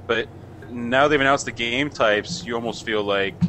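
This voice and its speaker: A man's voice: mid-range voice, moderate pace, sharp tone, a little hiss or hum, rough recording. A man in a casual online voice chat, bluntly grumbling about a game. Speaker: game industry worker in discussion. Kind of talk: casual group chat over voice call.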